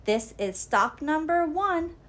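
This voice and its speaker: A woman's voice: sits high, lively intonation, slow, a bit bright, voice pushed up, heavily acted. A woman voicing a sour, scheming old male storybook creature with a snarling, sneering manner. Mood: gleeful scheming.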